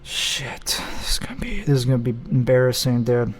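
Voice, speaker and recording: A man's voice: medium pitch, animated, normal speed. A young man hosting a casual solo show, talking bluntly and rambling with self-mocking asides. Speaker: podcast host.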